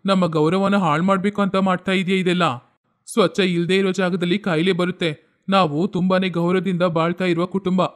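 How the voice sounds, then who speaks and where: A man's voice: high voice, flat delivery, moderate pace, lightly acted. A man voicing a proud, stern village elder in a moral story, haughty and scolding. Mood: arrogant, angry.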